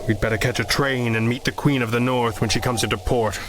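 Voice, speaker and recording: A man's voice: medium pitch, fairly even intonation, brisk, lightly acted. Guy playing a serious superhero type in a radio play, dramatic and dry, noise behind him. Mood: grave, dry. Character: earnest, commanding male masked superhero. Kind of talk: pulp radio drama acting.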